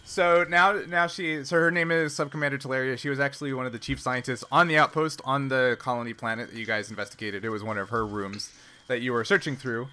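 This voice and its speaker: Bright-voiced man running a tabletop game. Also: medium pitch, steady tone, quick pace. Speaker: game master. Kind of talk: casual narration of tabletop roleplaying game.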